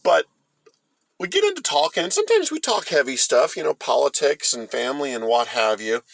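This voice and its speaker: A middle-aged man with an American accent, telling a story casually in a halting, rambling, folksy way. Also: on the high side, big pitch swings, creaky, no room sound. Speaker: host of a storytime vlog.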